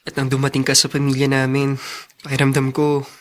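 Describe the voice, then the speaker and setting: A man's voice: mid-range voice, monotone, a little fast, close to an everyday voice. A man with a Filipino accent acting a kind, protective older brother in a radio drama. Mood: wistful, tender.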